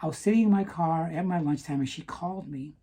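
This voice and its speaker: A woman talking earnestly to camera in a personal vlog, stopping to ask "okay?" for emphasis, with some halting restarts. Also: sits low, mellow, husky. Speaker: vlogger.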